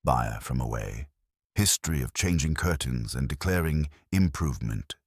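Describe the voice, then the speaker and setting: A man's voice: rumbling low, expressive, moderate pace, darkish tone, husky, no echo, studio quality, full character voice. A man voicing an agreeable, dutiful junior policeman who reports drolly and matter-of-factly in a mystery story. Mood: dutiful, droll.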